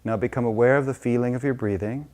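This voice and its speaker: A man leading a guided meditation as a teacher, giving slow, gentle, unscripted instructions with soft-spoken pauses. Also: medium pitch, steady tone.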